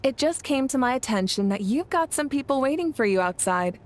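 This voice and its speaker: A woman's voice: high voice, lively intonation, a little fast, noisy background. Confident, kindly warrior queen. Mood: calm, reassuring. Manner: assured, composed, friendly and encouraging. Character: confident, kindly female warrior ruler of a nation. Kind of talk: scripted video game voice acting.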